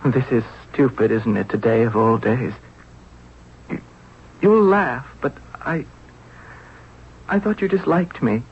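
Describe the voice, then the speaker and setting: An actor playing a weak, timid young prince in a radio drama, faltering and plaintive. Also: male voice, medium pitch, slow pace, creaky, pauses often, slightly rough, exaggerated voice. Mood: subdued, hurt. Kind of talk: old-time radio drama acting. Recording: muffled, slightly noisy radio recording.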